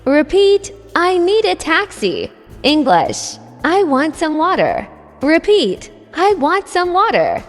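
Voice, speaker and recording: A woman teaching a scripted beginner language drill, saying each phrase twice in a deliberate way. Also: very high voice, big pitch swings. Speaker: English teacher. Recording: long reverb with faint music.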